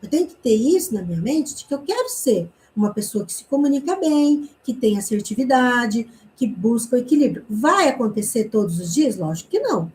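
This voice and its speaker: A woman's voice: on the high side, sing-song, a little fast, few pauses, darkish tone, slight background noise, low-quality recording. A woman teaching a communication class, explaining points with rhetorical questions and frequent tag questions. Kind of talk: online class lecture.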